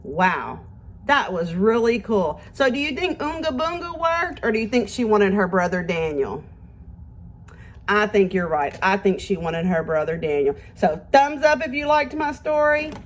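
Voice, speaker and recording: A woman teacher reads aloud to little children with a very animated, swooping melody. She takes her time, speaking slowly and clearly addressing her young listeners. She encourages them and puts simple questions to them as she goes. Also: mid-range voice.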